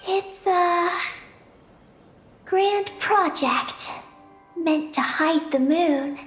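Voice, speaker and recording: Solemn girlish game character, high voice, calm halting exposition, roomy echo. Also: soft background music, heavily acted. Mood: solemn, explanatory. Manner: measured, halting, matter-of-fact exposition. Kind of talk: video game voice acting.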